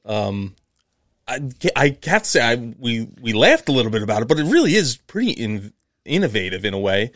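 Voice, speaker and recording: A man's voice: mid-range voice, very animated, brisk, a bit bright, no echo, clean studio sound. A man co-hosting a podcast, easygoing in casual chat and steering the conversation to the next topic. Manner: easygoing, steers the talk, many uh fillers. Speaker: podcast co-host.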